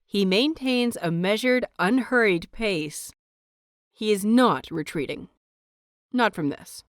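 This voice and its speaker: A woman reading a story as scripted dramatic narration, measured and deliberate, with dramatic pauses. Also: medium pitch, lively intonation, dry recording, studio quality. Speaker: audio fiction narrator.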